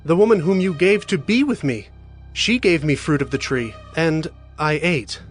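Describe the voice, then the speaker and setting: An actor playing the first man, young and fearful, speaking in a hurried, anxious way in an audio drama. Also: a little high, expressive, lightly acted. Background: music.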